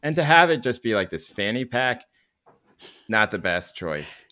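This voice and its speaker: Very deep-voiced man in casual talk. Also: very animated, a little slow, bright, forceful tone. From born in Korea. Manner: opinionated, profane, discursive storytelling. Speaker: podcast host and writer. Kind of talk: casual podcast film chat.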